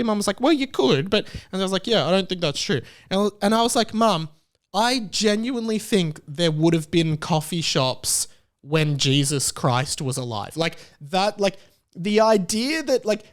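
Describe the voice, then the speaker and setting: A young Australian man hosting a solo podcast, telling family stories with lively acted-out dialogue. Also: high-pitched. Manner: animated storytelling, acts out family dialogue. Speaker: podcast host.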